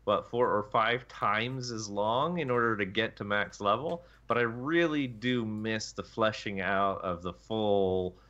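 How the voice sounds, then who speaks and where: A man's voice: fairly low pitch, unhurried, hardly any pauses, sharp tone. A man chatting casually as a gaming show panelist, recorded over dull lo-fi voice chat.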